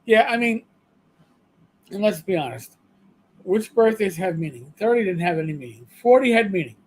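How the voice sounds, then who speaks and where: A man in his fifties co-hosting a livestream in casual, rambling chat, self-deprecating and prone to halting restarts. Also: high-pitched, lively intonation, slightly rough, low-quality recording. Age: 50s. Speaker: livestream co-host.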